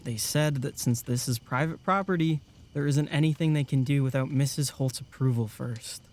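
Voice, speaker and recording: 남자가 연기하는 진지하고 배려심 있는 젊은 남성 상담원. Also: male voice, mid-range voice, moderate pace, lightly acted. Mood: serious. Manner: calm, considerate. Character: earnest, protective young male camp counselor. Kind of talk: audio drama acting.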